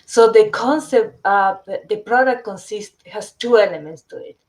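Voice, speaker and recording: A middle-aged woman from Chile, a company founder presenting her product in a webinar, often pausing with fillers and restarting sentences. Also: medium pitch, a little mellow. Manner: hesitant, many fillers and restarts.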